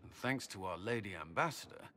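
A man's voice: on the low side, lively intonation, a little fast, a bit bright, a little hiss or hum. An actor playing an earnest, confident male military commander giving a firm briefing in a fantasy game. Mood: resolute. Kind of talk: serious fantasy game acting.

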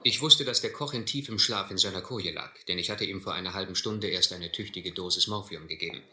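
An actor playing an educated, resigned gentleman castaway in a radio play, articulate, earnest and bitter. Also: low voice, a little fast. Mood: grim narration. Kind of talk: German radio play narration and dialogue. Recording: roomy, faintly noisy radio play recording.

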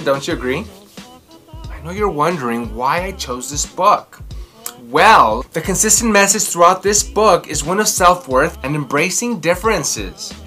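A man's voice: high voice, bright, forceful tone. A man in his thirties hosting a children's story time and book review with a theatrical, exaggerated, emphatic delivery. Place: indoors, small room at home.

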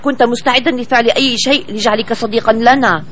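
Woman as a quick-talking cartoon animal. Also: a little high, fairly even intonation, a crowd in the background, lightly acted. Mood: firm. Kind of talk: children's cartoon dubbing in formal Arabic.

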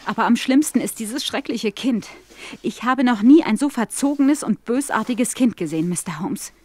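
An actress playing a polite, anxious young governess in a German audio drama, speaking in an earnest, worried, formal manner. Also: female voice, a little high, animated, moderate pace, dark tone, no echo. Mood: distressed, indignant. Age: young adult.

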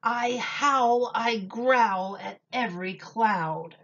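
A woman reading a children's picture book aloud like a teacher, deliberately and expressively, with dramatic emphasis on key words. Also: fairly high pitch, fairly bright tone, muffled recording.

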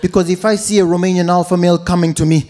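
A young man performing a stand-up set on a club stage into a handheld mic, animated and switching between voices. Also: high voice, very fast. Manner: animated, slips into Romanian voices. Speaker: stand-up comedian. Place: comedy club stage with live audience.

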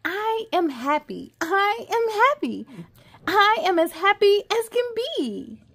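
A woman voicing a little girl with big, changing feelings in a children's storybook, slow and expressive. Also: extremely high pitch, voice pushed up, exaggerated voice. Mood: happy. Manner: slow, exaggerated, expressive delivery. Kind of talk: children's storybook read-aloud, acted out.

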